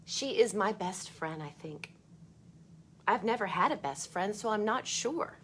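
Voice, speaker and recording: Expressive woman storyteller, noisy ambient recording. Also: medium pitch, a little slow. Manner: expressive, speaking directly to young listeners. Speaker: storytime host reading a picture book aloud. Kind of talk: children's read-aloud with chatty asides.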